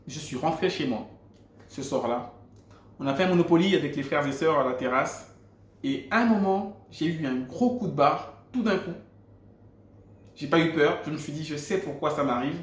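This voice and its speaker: Man, Gabonese French accent, fairly high voice, earnest faith testimony, echoey room. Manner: earnest storytelling with frequent restarts. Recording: roomy echo.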